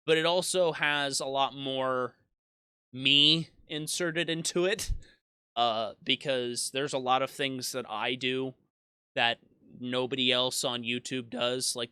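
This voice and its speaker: A man's voice: medium pitch, bright, forceful tone, clean tone, no echo, studio quality. A man in his twenties, a YouTube gaming creator, reflecting in a casual monologue that rambles with frequent restarts and self-deprecating asides. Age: 20s.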